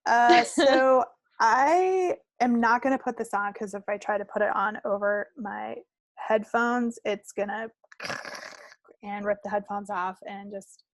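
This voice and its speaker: Playful woman chatting, creaky voice. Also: mid-range voice, measured pace, dry recording. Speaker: craft podcast co-host. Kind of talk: casual chat about projects. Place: recorded at own end of a remote video call.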